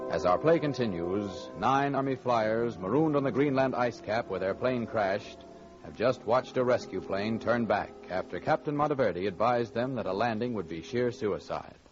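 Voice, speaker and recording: A man's voice: on the low side, expressive, moderate pace. A man narrating formally and dramatically as a 1940s radio announcer. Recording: muffled old radio recording with music.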